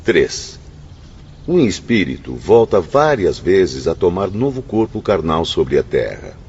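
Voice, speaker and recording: An actor playing a solemn spirit pupil who recites precepts in a formal, emphatic way for a radio drama. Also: male voice, low voice, big pitch swings, slow pace, a little mellow, slightly rough, exaggerated voice. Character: João, solemn, encouraging male spirit pupil reading precepts. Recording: dull recording with faint background noise.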